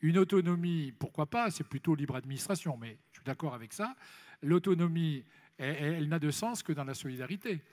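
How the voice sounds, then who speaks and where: A man's voice: on the high side, animated, fairly quick, darkish tone. A man speaking off the cuff as an elected official on a congress panel, emphatic and repeating phrases for stress. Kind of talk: impromptu panel discussion at a congress. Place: large congress hall plenary session. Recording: reverberant large hall, amplified speech.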